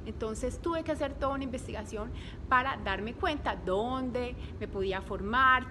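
A woman in her thirties, raised in Colombia, speaks to camera from her living room in a flowing, direct way. Her voice sits a little high. A steady hiss runs under the home recording. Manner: warm, flowing, addresses viewers directly. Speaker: image consultant and online course creator. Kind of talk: personal story to camera.

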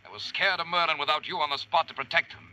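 An actor in a 1940s radio drama playing a cocky, excitable, loyal right-hand man with a brash, slangy delivery. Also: a little high, fast talker. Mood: brisk, blunt. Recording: dull, band-limited vintage radio recording.

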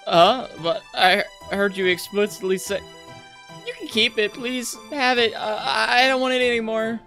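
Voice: mid-range voice, moderate pace, gravelly, bright, forceful tone, faint music behind, pitched above the performer's own voice. A game streamer voicing an awkward, earnest young noblewoman in a visual novel. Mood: flustered, insistent.

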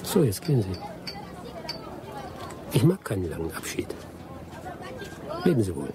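An actor playing a wily, vengeful expatriate adventurer in a German radio drama, with a sardonic, persuasive way of talking. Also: male voice, low-pitched, huge pitch swings, a little slow, background noise, exaggerated voice. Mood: guarded.

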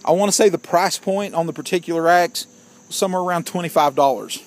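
A man's voice: fairly high pitch, fast talker. A man giving a casual outdoor gear review, plainspoken and opinionated, often calling his viewers "guys". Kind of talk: casual product review vlog.

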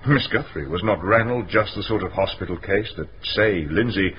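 An actor playing a shrewd, composed male inspector in a radio mystery, formal and measured as he explains. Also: deep voice, natural voice. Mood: composed, probing. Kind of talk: radio mystery drama acting. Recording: muffled radio recording, faint noise.

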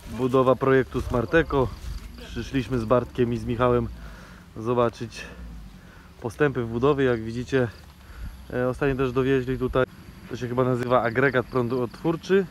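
A man in his thirties giving a relaxed, chatty phone-camera tour of a building site outdoors, with frequent filler sounds. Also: medium pitch, normal speed, slight background noise. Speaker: vlogger. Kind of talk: casual walk-through vlog narration. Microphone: handheld phone camera.

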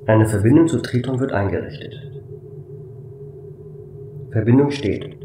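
Man voicing a flat, formal station AI. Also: medium pitch, measured pace, reverberant, distant mic, a little hiss or hum, close to an everyday voice. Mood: neutral. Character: calm, matter-of-fact station AI computer named Keep. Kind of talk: amateur sci-fi audio drama acting.

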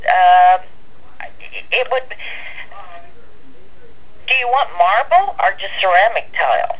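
Shop lady on a phone line, helping a customer, kinda unsure and halting. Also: medium pitch, expressive. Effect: telephone. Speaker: flooring shop employee. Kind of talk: customer service phone call. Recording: phone call played over speaker.

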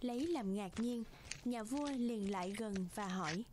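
A woman's voice: fairly high pitch, measured pace, background noise. A woman reading scripted fairy-tale narration for a cartoon as the storyteller.

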